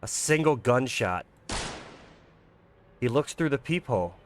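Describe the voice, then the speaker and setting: A man's voice: medium pitch, expressive, measured pace, slight background noise. A man reading dramatic scripted narration for a horror visual novel. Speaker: narrator and creator.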